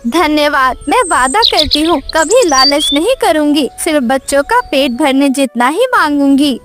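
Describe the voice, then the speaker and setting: A woman's voice: high voice, expressive, moderate pace, music in the background. A woman voicing a kind, humble mother bird in an earnest, tender cartoon story style. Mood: pleading, grateful. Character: kind, humble mother sparrow, gentle and forgiving. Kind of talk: cartoon moral story acting.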